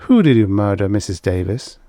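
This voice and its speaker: A man's voice: on the low side, animated, brisk, mellow, no echo. A man voicing a smug, condescending male official in an audiobook, soothing and confidential. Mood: patronizing. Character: smug, patronizing pipe-smoking official humoring a woman. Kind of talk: audiobook character voice.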